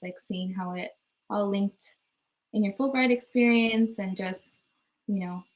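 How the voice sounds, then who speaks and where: A woman's voice: mid-range voice, low-quality recording. Encouraging woman moderating a panel. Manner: encouraging, filler-heavy.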